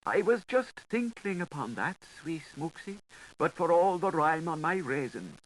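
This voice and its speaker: A man's voice: a little high, very animated, unhurried, pitched above the performer's own voice, poor audio. A man voicing a meek, fawning talking creature with a thin, whining, pleading delivery in an audiobook. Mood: whimpering. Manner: obsequious, pleading.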